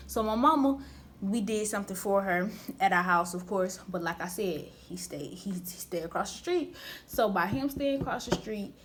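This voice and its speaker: A woman's voice: medium pitch, background noise. A woman of twenty, chatting candidly through a casual storytime vlog, slangy and rambling with restarts. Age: 20s. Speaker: vlogger.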